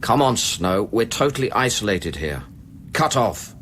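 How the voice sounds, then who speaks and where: An actor playing a sober, suspicious investigator in a radio play, pressing with short, clipped questions. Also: male voice, lowish voice, big pitch swings, measured pace, a bit bright, a little hiss or hum. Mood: frustrated, insistent. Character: probing, insistent male investigator, sober and suspicious. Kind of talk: radio drama acting.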